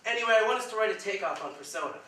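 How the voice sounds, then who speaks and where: A man's voice: high voice, animated, racing, sharp tone. A man on stage playing a pretentious, earnest, pushy film-buff writer, opinionated and declamatory. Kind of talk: theatrical staged-reading dialogue. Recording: distant echoey stage recording, faint noise.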